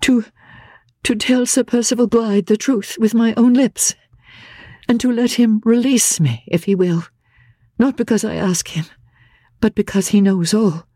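A woman voicing an anguished, gentle young gentlewoman, earnest and halting, in a dramatic classic audiobook. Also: a little high, no room sound, studio quality, pitched above the performer's own voice. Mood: sorrowful, earnest.

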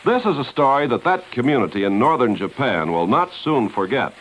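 A man's voice: medium pitch, sing-song, a little fast. A man working as a radio announcer, giving polished, earnest 1950s scripted narration. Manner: polished, earnest, dramatic storytelling. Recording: dull, hissy 1950s radio broadcast.

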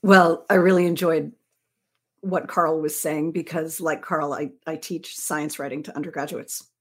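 A woman's voice: low voice, fairly even intonation, a little slow, slightly rough. A woman speaking reflectively and conversationally as a panelist and teacher. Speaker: panelist, science writing teacher.

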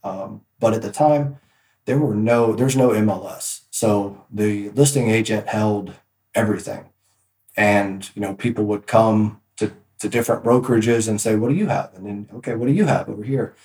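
A man's voice: lowish voice, moderate pace, darkish tone, echoey room. A man guest on a podcast, telling stories about his work in a casual, rambling way with many restarts. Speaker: podcast guest, real estate agent. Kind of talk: casual interview storytelling.

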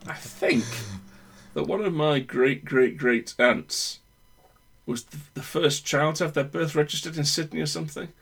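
Husky-voiced man telling rambling stories. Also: fairly high pitch, unhurried, a bit bright. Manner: meandering, self-correcting storyteller. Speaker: podcast guest. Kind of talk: casual chat, rambling anecdotes.

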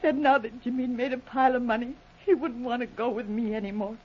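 Woman playing a timid high-voiced young girl. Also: fairly even intonation, quick pace, slight background noise, lightly acted. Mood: apologetic, anxious. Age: young adult. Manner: timid, deferential, hesitant. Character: meek, grieving young singer girl, anxious and naive. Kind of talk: 1940s radio drama acting. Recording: dull lo-fi vintage radio recording.

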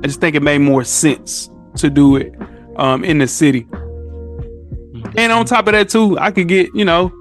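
A man's voice: a little high, steady tone, normal speed, pauses often, soft background music. An American man chatting casually and informally as a podcast co-host. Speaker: podcast co-host appearing as guest. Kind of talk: casual chat.

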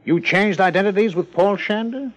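A man's voice: high-pitched, normal speed, close to an everyday voice. An American man acting a shrewd, reflective police lieutenant in a 1950s radio drama, conversational and musing. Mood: puzzled, musing.

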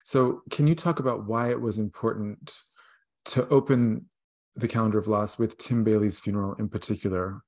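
A man's voice: fairly low pitch, fairly even intonation, moderate pace, darkish tone. A man hosting an interview, asking thoughtful questions with many ums. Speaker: interviewer, host.

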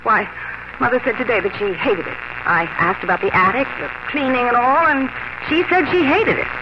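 A woman's voice: a little high, expressive, brisk, natural voice. An actress playing an impulsive, emphatic young woman visiting her parents, in a 1950 radio drama. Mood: earnest. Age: young adult. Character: lively, bossy young woman, a city artist. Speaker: grown daughter. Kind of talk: 1950s radio drama acting. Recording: hissy lo-fi 1950 radio broadcast.

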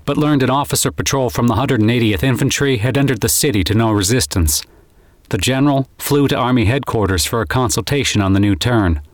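A man's voice: mid-range voice, no echo. Male reader, mid register, scripted war diary narration, dry studio sound. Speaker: reader of a wartime officer's diary.

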